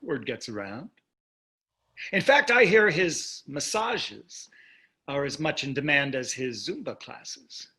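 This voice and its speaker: Dry, needling wealthy husband, bright voice. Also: male voice, on the high side, sing-song, slow pace, heavily acted. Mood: dryly teasing. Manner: dry, needling, condescending endearments. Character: wealthy older husband, dryly teasing and complacent. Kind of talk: staged play reading.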